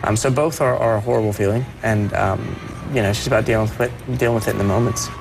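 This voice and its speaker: A young man speaking as a performer guest on a TV interview, soft-voiced and earnest, often restarting his sentences. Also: lowish voice, steady tone, quick pace, gravelly, music in the background. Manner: earnest, with many restarts and repeated words. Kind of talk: TV morning show interview.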